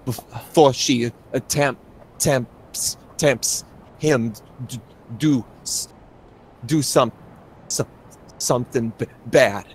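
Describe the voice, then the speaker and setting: A man playing a halting, stuttering, distressed man. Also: medium pitch, a little hiss or hum, low-quality recording. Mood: anxious, struggling. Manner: halting, stuttering, struggling to speak. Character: distressed stammering wheelchair-bound man warning a traveller.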